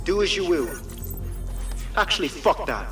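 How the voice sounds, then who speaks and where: A man's voice: sits high, sing-song, unhurried, exaggerated voice. A man playing an urgent, defiant figure exposing a conspiracy in a dramatic game monologue, emphatic and declamatory, heard from a distance with echo. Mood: urgent defiance. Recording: echoey, distant, loud music behind.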